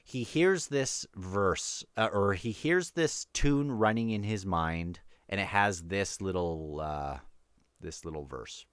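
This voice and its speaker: A man giving casual read-along book commentary, halting and thinking aloud as he moves between quotes and his own remarks. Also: deep voice, animated, fairly bright tone, clear, resonant tone, no room sound, studio quality. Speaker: book discussion host.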